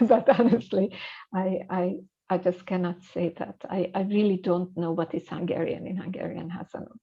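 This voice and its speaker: Scholarly woman guest, low and fry-edged, hesitant conversational delivery, muffled recording. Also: dark tone. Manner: hesitant, many fillers and restarts. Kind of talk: conversational interview.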